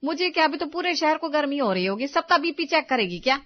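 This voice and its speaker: A woman voicing a wry, exasperated but affectionate older mother-in-law in an animated family story, teasing and grumbling. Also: high voice, quick pace, lo-fi sound. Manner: teasing, dry-witted grumbling.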